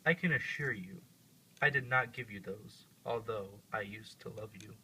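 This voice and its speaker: A man's voice: lowish voice, moderate pace, pushed and bright, a little hiss or hum, poor audio. A man playing a grieving, bitter young prince in an amateur puppet show. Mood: cold.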